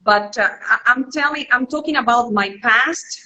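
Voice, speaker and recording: Woman guest on a video call, higher pitched, bright and forceful, halting English. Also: low-quality recording. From Cuba. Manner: halting, searches for words, repeats herself. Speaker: guest, cigar connoisseur. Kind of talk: casual live interview.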